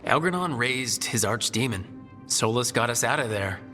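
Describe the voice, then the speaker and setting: An actor playing a wry, easygoing young hero in a fantasy video game, with a casual delivery. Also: male voice, medium pitch, expressive, moderate pace, pushed and bright. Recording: long reverb, music behind.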